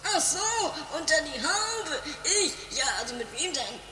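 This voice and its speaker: A woman playing a dramatic, excitable adventurer with theatrical exclamations in an amateur comedy radio play. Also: high voice, a little slow, reverberant, long reverb tail, slight background noise, heavily acted. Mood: puzzled.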